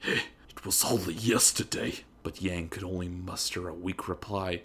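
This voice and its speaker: A man's voice: fairly low pitch, a bit bright. A man narrating a comedic dramatic reading in a theatrical, emphatic, mock-serious manner.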